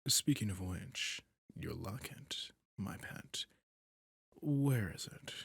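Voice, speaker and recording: A man voicing a possessive, sadistic male vampire who is cruelly seductive, in an ASMR roleplay, talking slowly and domineeringly in a husky, often whispered voice close to the mic. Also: on the low side, no room sound, full character voice. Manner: slow, purring, domineering.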